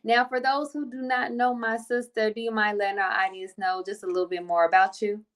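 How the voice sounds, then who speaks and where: An effusive woman hosts a faith-themed podcast in an easy, casual way. Her voice sits at an ordinary middle pitch for a woman. She greets and praises over and over. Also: level tone, a little fast. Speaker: podcast host.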